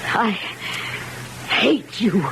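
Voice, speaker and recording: An actress playing a bitter, mocking wife in an old-time radio drama, with a sharp, taunting way of talking. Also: female voice, a little high, lively intonation, very slow, gravelly, background noise, heavily acted. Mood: hateful. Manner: sharp, taunting, working-class phrasing. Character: bitter, mocking young-ish wife, scornful of husband.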